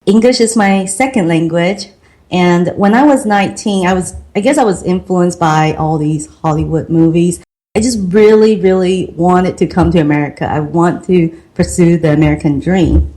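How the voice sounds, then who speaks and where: Middle-aged woman, Taiwanese accent, second-language English, slightly low voice, earnest storyteller. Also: warm tone. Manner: earnest, reflective, slow and careful. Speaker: guest, marketing consultant. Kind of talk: podcast interview storytelling.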